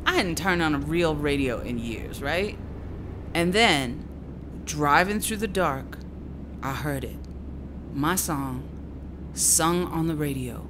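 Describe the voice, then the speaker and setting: A candid female musician, speaks in a low register. The delivery is conversational radio-drama storytelling, natural and casual, over clearly audible ambient noise. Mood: hurt, intense. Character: candid woman traveler recalling a painful betrayal, musician.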